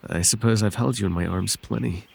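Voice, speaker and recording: A man voicing a gentle, devoted and bashful winged fairy in an ASMR roleplay, speaking tenderly and hesitantly in formal, old-fashioned phrases. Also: on the low side, brisk, vocal fry, slight background noise. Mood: flustered, shy. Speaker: voice actor.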